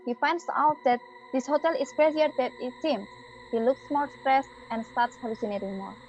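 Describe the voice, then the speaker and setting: A woman's voice: high-pitched, moderate pace, darkish tone, soft background music. A young adult woman reading scripted dramatic narration for a horror story. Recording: muffled, noisy home-style audio.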